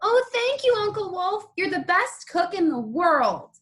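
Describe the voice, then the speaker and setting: A grateful little storybook chick, voiced by a woman. The voice is very high and full of adoring thanks. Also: very animated, unhurried, fairly bright tone, heavily acted.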